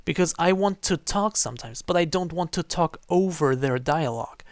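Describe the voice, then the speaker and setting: A man's voice: fairly high pitch, expressive, moderate pace, dry recording, clean studio sound. A man giving casual livestream commentary on a game, rephrasing himself as he goes. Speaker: game streamer. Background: faint game music.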